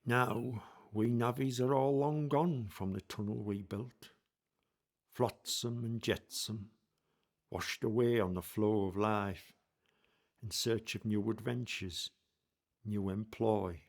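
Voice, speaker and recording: A man playing a young Victorian navvy who narrates his story in a community radio play, in a measured, reflective way. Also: on the low side, creaky. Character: young Victorian navvy, a proud tunnel digger. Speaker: narrator in character.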